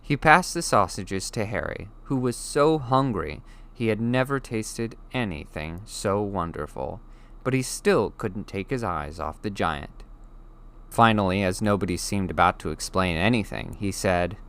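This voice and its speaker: A man reading a children's novel aloud as an audiobook, in expressive scripted narration. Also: low voice. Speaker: audiobook reader.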